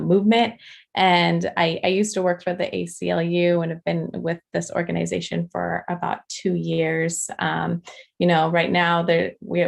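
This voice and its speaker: Young woman advocate, low gravelly voice, earnest and unscripted, lots of ums. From Afghanistan. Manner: many filler ums and restarts, earnest. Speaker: nonprofit advocate speaking to a political club. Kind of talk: informal presentation at a meeting.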